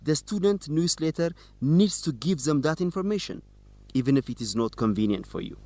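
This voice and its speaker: Guy playing stubborn student reporter, radio drama, highish voice that moves around a lot, firm n challenging. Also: expressive, normal speed, mellow, close to an everyday voice. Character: dogged, defiant male student newspaper reporter. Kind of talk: educational radio drama acting.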